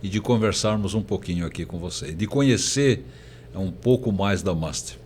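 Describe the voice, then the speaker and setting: An older man, a professor, telling his story as an interview guest in a halting, conversational way. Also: low-pitched, a little mellow. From born in Portugal, emigrated very young. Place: recording studio. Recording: faint background noise.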